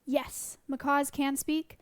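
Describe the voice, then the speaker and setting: A woman in her twenties chatting casually on a livestream, answering questions about sanctuary animals with lots of ums and restarts, while her voice is going hoarse. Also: sits high, little pitch movement, slow pace, dry recording. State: losing her voice.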